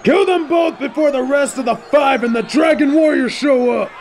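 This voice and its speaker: A man's voice: extremely high pitch, moderate pace, a little mellow, raised voice. A man playing a brutish bandit leader in an audio roleplay, sneering, taunting and theatrically menacing. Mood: furious. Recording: battle crowd and clear noise behind.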